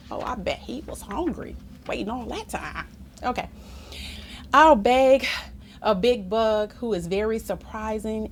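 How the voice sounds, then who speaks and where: A woman reads a children's story aloud with a very lively, swooping voice on the high side. She takes her time and turns to her young listeners in an engaging way, with some background noise under the recording. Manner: animated, engaging, asks the listeners questions. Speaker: storytime reader. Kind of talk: expressive read-aloud of a children's picture book with asides to listeners.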